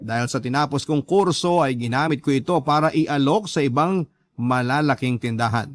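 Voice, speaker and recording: A man hosting a radio love story show, reading a scripted letter dramatically in measured, pause-filled storytelling phrases. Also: mid-range voice.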